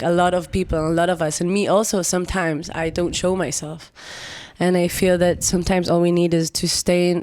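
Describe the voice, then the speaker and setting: A woman's voice: low voice, a little mellow. A woman, a singer-songwriter guest on a podcast, speaking expressively and searching for words. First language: Hebrew. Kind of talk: reflective podcast conversation.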